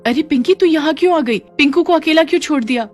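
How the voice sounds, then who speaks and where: A woman's voice: high voice, fast, over music, voice pushed up, natural voice. A woman voicing a worried, devoted poor mother in a cartoon moral story. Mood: urgent.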